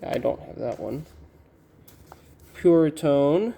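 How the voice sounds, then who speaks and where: A man giving casual, halting unboxing commentary as a collector, musing to himself in a low-key way. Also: animated, vocal fry, mellow, breathy edge. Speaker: record collector presenting an unboxing.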